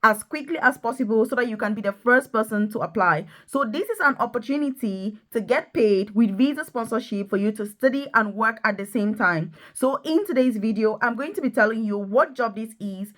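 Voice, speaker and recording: A woman's voice: on the high side, brisk, few pauses, a bit bright. A woman hosting an enthusiastic promotional vlog, talking straight to viewers in an emphatic, urging manner and repeating points for stress. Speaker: video host, nurse and career coach.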